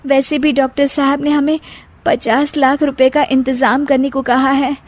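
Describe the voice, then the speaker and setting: A woman's voice: sits high, flat delivery, unhurried, pitched above the performer's own voice. A woman voicing a dutiful, sensible young daughter with an earnest, comforting manner in a moral story. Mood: earnest, determined. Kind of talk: Hindi moral story dramatization.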